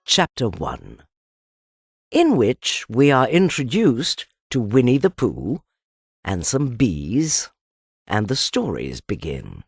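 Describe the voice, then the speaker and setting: Woman reading a children's audiobook, theatrical, slow and deliberate, husky voice, very dry close sound. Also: extremely low pitch, huge pitch swings, dry recording, soft background music. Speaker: audiobook narrator. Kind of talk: expressive children's storybook narration.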